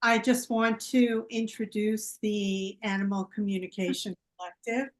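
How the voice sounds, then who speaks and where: A woman hosting an online fundraiser, introducing her group slowly with many pauses and fillers. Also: medium pitch, level tone. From United States. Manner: slow and halting, with many fillers. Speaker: team captain and event host. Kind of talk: casual introduction.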